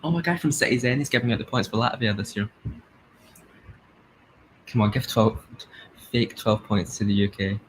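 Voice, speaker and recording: A young man with a Glasgow-area Scottish accent, chatting excitedly and laughing as he comments on a live stream. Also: lowish voice, moderate pace, long pauses, slight background noise, poor audio. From Scotland.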